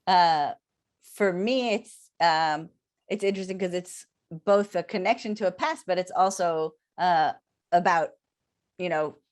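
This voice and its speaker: A woman, a Hebrew speaker, talking thoughtfully over a video call with many pauses and fillers. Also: lowish voice. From Israel. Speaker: academic interviewer. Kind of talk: reflective conversation.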